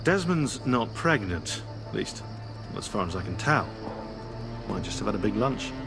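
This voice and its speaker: An actor playing a sarcastic, pedantic and peevish British know-it-all in a video game cutscene. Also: male voice, lowish voice, big pitch swings, moderate pace. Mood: sardonic. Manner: sardonic, pedantic. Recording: clear music underneath. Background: music.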